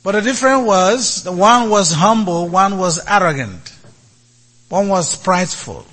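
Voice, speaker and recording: High-voiced man preaching slowly. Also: lively intonation, fairly bright tone. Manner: deliberate preaching in short halting phrases. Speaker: priest. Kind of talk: sermon.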